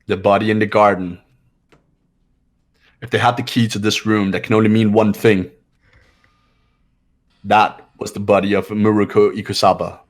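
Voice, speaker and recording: A man voicing a cool, authoritative student sleuth in an amateur game dub, speaking with deliberate, formal certainty. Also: on the low side, little pitch movement, lightly acted. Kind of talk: amateur voice acting of a video game.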